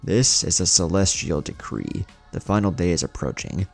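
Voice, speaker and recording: A man's voice: deep voice, moderate pace, gravelly, faint music behind. A man voicing a mysterious, ominous male stranger in a fantasy story, speaking formally and solemnly.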